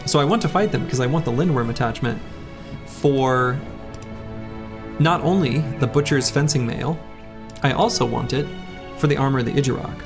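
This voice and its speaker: A man streaming a game, chatting casually with viewers in a rambling way, his voice a little hoarse. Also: medium pitch, normal speed. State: slightly hoarse. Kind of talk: casual live-stream chat while playing. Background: faint game music.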